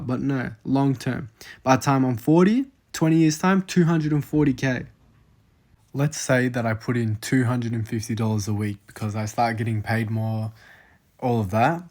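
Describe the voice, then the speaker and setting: Young man, Australian accent, twenties, laid-back, chatty, fillers, mid-pitched voice. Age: 20s. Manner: casual, filler-heavy, thinking aloud over numbers. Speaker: investing vlogger. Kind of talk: casual screen-share walkthrough.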